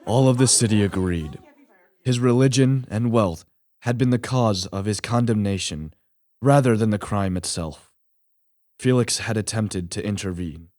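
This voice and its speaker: A man's voice: fairly low pitch, a little slow, darkish tone, no room sound, some chatter behind, lightly acted. A man playing a lonely, thoughtful creature telling his life story in a radio play, in a formal and reflective manner. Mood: reflective narration.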